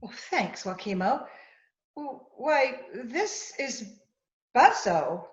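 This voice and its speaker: Radio drama ingenue female actor: earnest, curious, very lively intonation, echoey muffled recording. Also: a little high, slow. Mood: inquisitive. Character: Susie, earnest, inquisitive young female companion. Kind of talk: old-time radio drama acting. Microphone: video call. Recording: roomy, dull video-call audio.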